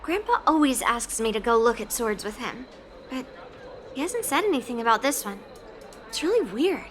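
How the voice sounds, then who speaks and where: A woman's voice: on the high side, animated, normal speed, fairly bright tone, a little hiss or hum. A young actress voicing a bold, blunt young swordswoman in a video game cutscene, talking in a teasing, confident way. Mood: lively. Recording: game cutscene over music. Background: background music.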